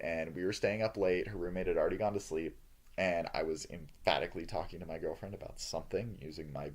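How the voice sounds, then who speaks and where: A male professor with a loud, booming voice, giving an earnest lecture on feedback with lots of "uh" and "um" fillers. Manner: earnest, lively, frequent "uh" and "um" fillers.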